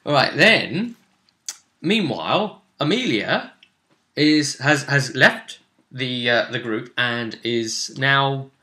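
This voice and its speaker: A man hosting a tabletop roleplay session, recapping in casual, expressive chat. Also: mid-range voice, slow. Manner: animated, expressive. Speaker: host and game master of a tabletop roleplay series.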